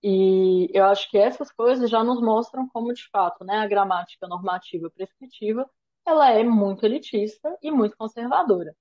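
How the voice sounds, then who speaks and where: A woman's voice: medium pitch, normal speed, muffled recording. A woman from Brazil giving an online lecture on language teaching. She explains step by step and keeps checking in with "né?". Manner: thoughtful, explanatory, frequent "né?" tags. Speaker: course lecturer.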